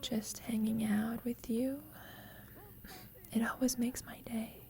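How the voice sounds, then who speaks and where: A woman playing a teasing, affectionate young roommate with a secret crush in an ASMR roleplay, speaking softly and breathily, often in a whisper, with playful, tender gentleness. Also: fairly high pitch, flat delivery, slow. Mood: tender, confessional. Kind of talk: ASMR roleplay, intimate sleep-aid audio.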